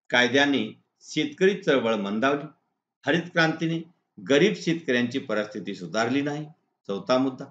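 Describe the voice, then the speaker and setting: Male teacher, Maharashtrian accent, instructional point-by-point delivery, muffled lo-fi recording with strong room echo. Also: medium pitch. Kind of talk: exam-answer lesson, read out point by point. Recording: echoey room, dull lo-fi sound.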